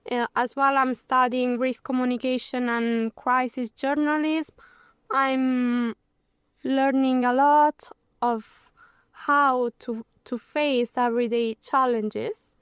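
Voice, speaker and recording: A woman in her late twenties from Rome with an Italian accent, introducing herself as a student in a slow, unhurried way. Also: sits high, fairly even intonation, fairly bright tone, clear, resonant tone, no room sound, clean studio sound. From Rome, Italy. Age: late 20s. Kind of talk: self-introduction.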